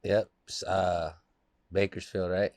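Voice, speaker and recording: A man's voice: low-pitched, little pitch movement, takes it slowly, a little mellow, no echo. A man from the Los Angeles area, a guest in a casual interview, telling stories in a loose, laid-back way with plenty of fillers.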